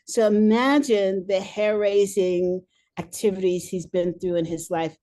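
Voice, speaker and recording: A woman's voice: on the low side, warm tone. A woman hosting a leadership podcast, who talks with enthusiasm and laughs easily. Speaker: podcast host, leadership coach. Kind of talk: conversational interview.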